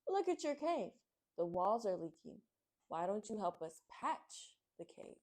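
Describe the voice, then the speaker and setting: A woman voicing an earnest rabbit in a children's picture book, exclaiming and pleading with gentle urgency. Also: mid-range voice, very animated, unhurried. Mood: urgent, advising.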